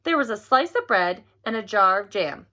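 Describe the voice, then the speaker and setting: Animated, bright-voiced woman reading a children's story. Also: mid-range voice, moderate pace. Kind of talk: expressive read-aloud of a picture book.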